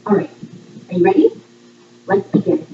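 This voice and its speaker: A woman reading a scripted voice-over like a playful quiz presenter, setting out each line clearly. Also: very animated, normal speed, a little hiss or hum. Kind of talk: scripted voice-over narration, trivia quiz for a fundraiser. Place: voice audio played back in a room during filming. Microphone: far camera picking up played-back audio. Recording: echoey, distant, muffled low-quality playback.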